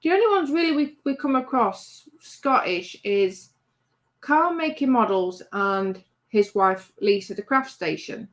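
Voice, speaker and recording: A woman's voice: mid-range voice, animated, unhurried. A woman hosting a casual livestream, reading out chat messages and teasing, often trailing off mid-sentence.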